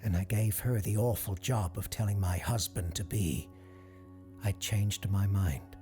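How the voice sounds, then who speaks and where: A man voicing a regretful woman giving halting, confessional testimony about her past in an audio drama. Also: on the low side, mellow, soft background music, close to an everyday voice. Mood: wistful. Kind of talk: quoted testimony within audio drama narration.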